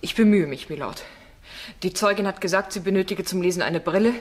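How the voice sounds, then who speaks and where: A woman's voice: fairly low pitch, fairly quick, slight background noise, close to an everyday voice. An actress playing a bold, insistent female defence barrister in a German crime radio play. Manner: pointed, insistent cross-examining.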